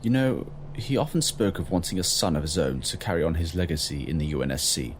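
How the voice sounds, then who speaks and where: A man playing a grave, kindly fleet captain in an audio drama, speaking formally and in a measured, solemn way. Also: low voice, slight background noise, natural voice. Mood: grave, encouraging.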